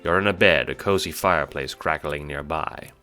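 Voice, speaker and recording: Deep-voiced man narrating an audio drama. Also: moderate pace, loud music behind the voice. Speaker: narrator and writer. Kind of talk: scripted second-person audio drama narration.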